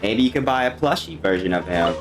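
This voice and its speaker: A man's voice: fairly low pitch, animated, brisk, music in the background. A man streaming a game, musing casually and offhand. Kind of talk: casual commentary over gameplay.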